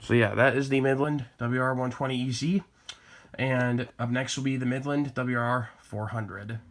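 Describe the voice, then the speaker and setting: A man's voice: medium pitch, fairly even intonation, moderate pace. A man with an American accent casually presenting items from his hobby collection, as in a home product show-and-tell.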